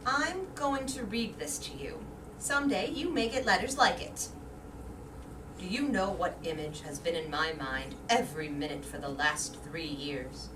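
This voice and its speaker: A woman's voice: low-pitched, lively intonation, a little slow. A woman playing a vain, anxious middle-aged wife in a staged reading, with a theatrical, emotional delivery. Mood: tender. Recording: distant roomy stage recording with background noise.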